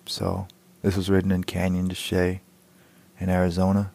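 A man's voice: sits low, monotone, moderate pace, creaky, a little mellow. A man, a poet introducing himself and his work. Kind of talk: spoken introduction to a poetry reading.

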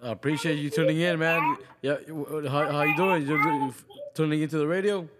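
Higher-voiced man hosting a radio show. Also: steady tone, a little fast, very noisy. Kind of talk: casual call-in show chat.

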